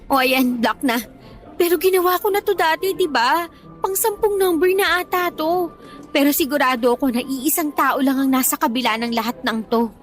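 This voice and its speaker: An actress plays a young Filipino woman in a radio drama, anxious and defiant. Her voice sits high, with light music behind it. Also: moderate pace, close to an everyday voice. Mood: insistent. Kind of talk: Tagalog radio drama acting.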